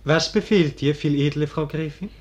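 An actor playing a deferential young page in a 1940s German radio drama, with a courtly, servile manner. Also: male voice, on the high side, moderate pace, a little mellow, natural voice. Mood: dutiful. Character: young page boy, deferential, eager to obey.

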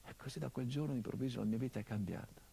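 An actor playing a hardened, grieving middle-aged son, delivering a measured theatrical monologue that drops to hushed tones. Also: male voice, mid-range voice, creaky, natural voice. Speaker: stage actor.

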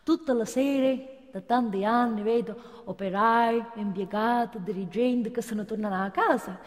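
An actress playing a resigned, humble night-shift cleaner who talks simply and colloquially in a theatrical radio monologue. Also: female voice, fairly high pitch, a little slow, natural voice. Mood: resigned, matter-of-fact. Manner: simple, ungrammatical colloquial speech. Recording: long hall reverb of a stage.

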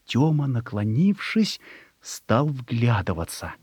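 A man narrating a children's story in an expressive, measured, suspenseful dramatic reading. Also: medium pitch.

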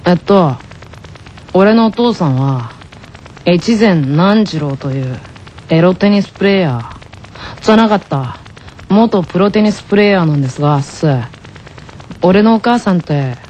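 A woman voicing a gentle, teasing teenage tennis boy in an anime radio skit, speaking with playful deliberation. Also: on the low side, animated, moderate pace, pauses often, a little hiss or hum, close to an everyday voice. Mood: playful mimicry.

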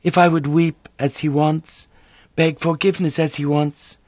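A man reading a story aloud, voicing a proud, wounded noblewoman who speaks calmly and bitterly. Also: sits low, measured pace, no echo, highs cut off, voice pushed up. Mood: resentful. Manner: calm, controlled, bitter.

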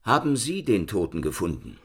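An actor playing a methodical Paris police inspector in a radio play, questioning a witness in a businesslike way. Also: male voice, lowish voice, wildly swooping pitch, normal speed, fairly bright tone, slightly rough, heavily acted.

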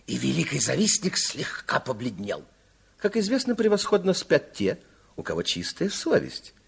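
An actor playing an expressive, kindly storyteller, narrating a children's fairytale radio play of the 1960s in a theatrical manner. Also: male voice, medium pitch, normal speed, vocal fry, breathy edge, exaggerated voice. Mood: suspenseful.

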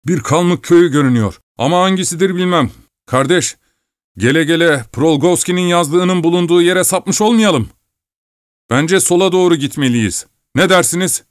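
A lively young driver with a high, eager voice, played by a male storyteller. He sounds out of breath and keeps his voice up, pressing to be heard. The recording is close and dry. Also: normal speed, no room sound, lightly acted. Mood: breathless. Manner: excited, breathless, raised voice.

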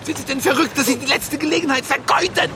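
An actor playing a frantic, cursing ship's engineer in a radio play, urgent and high-strung, desperate to escape. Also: male voice, fast talker, noisy background. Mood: desperate pleading.

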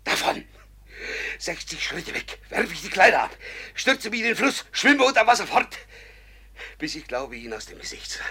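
Intense male bandit, radio drama: forceful, rasping, gasping delivery. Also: high voice, very animated, normal speed, exaggerated voice. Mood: breathless excitement. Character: rough male robber escaped from the gallows. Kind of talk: classic theatrical radio drama.

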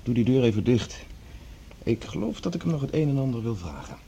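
An actor playing a brisk, probing male scientist who is calmly in charge, in radio drama style. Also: mid-range voice, gravelly, lo-fi sound, slight background noise. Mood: brisk, questioning.

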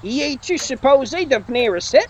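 A man's voice: very high-pitched, animated, normal speed. A man voicing a laid-back English-speaking buddy in a radio comedy, hesitant, with an English accent and broken French sprinkled with English words. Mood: puzzled, apologetic. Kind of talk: old-time radio comedy acting. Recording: dull, lo-fi, faint noise.

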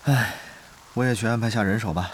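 A man's voice: on the low side, brisk, background noise, lightly acted. A man playing a weary, reluctant young deputy of a gamers' guild in an audio drama. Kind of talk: Chinese audio drama dialogue.

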